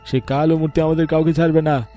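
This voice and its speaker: A man's voice: a little high, level tone, fast talker, dark tone, faint music behind, voice pushed up, natural voice. A man voicing a frightened, anxious villager in a cartoon tale, with a worried, pleading manner.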